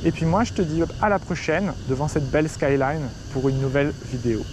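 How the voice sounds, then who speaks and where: Chatty French man, slightly high voice. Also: brisk, background noise. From France. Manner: chatty, direct, addresses viewer as tu. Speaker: Chinese teacher. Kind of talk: casual talking-head advice video. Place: outdoors in front of a city skyline.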